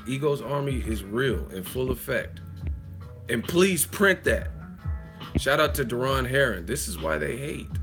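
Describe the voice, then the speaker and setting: A man hosting a live boxing commentary stream, hoarse from hours of talking, rambling loosely and profanely with lots of fillers. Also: medium pitch. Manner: rambling, loose and profane, with many fillers like "you know what I mean". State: hoarse, losing his voice.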